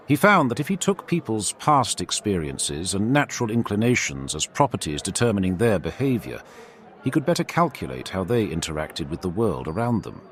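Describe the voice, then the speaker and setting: A man reading a scripted fantasy story in a formal, theatrical storyteller's manner. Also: sits low. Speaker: narrator. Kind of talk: scripted dramatic fantasy audiobook narration.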